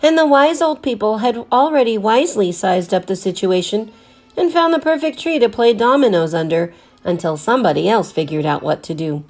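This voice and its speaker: A woman teacher reading a picture book aloud to children in an expressive, deliberately emphasized storytelling style. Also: medium pitch.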